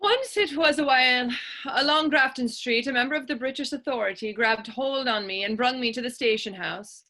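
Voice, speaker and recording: A woman acts out a plucky Irish teenage orphan on the stage. Her voice is fairly high and bright, with a forceful edge. She spins her tale proudly in lilting, old-fashioned Irish phrasing. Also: normal speed. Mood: indignant storytelling. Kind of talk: stage readers theatre acting in dialect.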